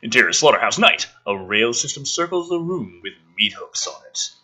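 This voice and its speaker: A man's voice: medium pitch, very animated, normal speed, sharp tone. A man reading a script aloud with theatrical emphasis and incredulous, profane, exasperated commentary, as the host of a review show.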